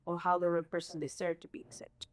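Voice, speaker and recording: A woman from Chile with a Chilean Spanish accent, leading a workshop session and often pausing to search for words. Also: on the low side, flat delivery, no echo, clean studio sound. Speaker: workshop presenter.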